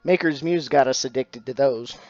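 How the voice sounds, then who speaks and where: A man's voice: mid-range voice, moderate pace, a little mellow, highs cut off, faint music behind. A middle-aged man, chatting casually on a live stream about his craft, full of dad jokes and thanks to followers. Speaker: stained glass streamer.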